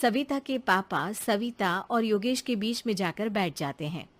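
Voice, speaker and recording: A woman's voice: medium pitch, lively intonation, unhurried. A woman reading scripted narration for a cartoon story as its narrator.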